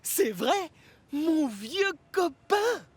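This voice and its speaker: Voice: sits high, big pitch swings, very slow, no room sound, full character voice. An actor voicing a sweet, naive young male tank engine in a children's cartoon, childlike and hesitant. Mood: delighted.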